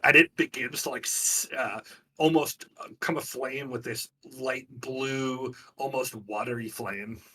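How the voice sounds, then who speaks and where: Slightly distant, lower-pitched man running a game. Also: fairly even intonation, unhurried, pushed and bright, husky. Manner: conversational, descriptive narration of players' actions. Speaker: game master. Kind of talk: casual tabletop game narration.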